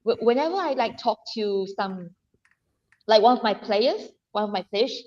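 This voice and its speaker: A woman from Malaysia with a Malaysian Chinese accent, chatting as a guest on a live stream, animated and laughing often, with many restarts and fillers. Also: a little high, normal speed, highs cut off. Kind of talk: casual live-stream chat.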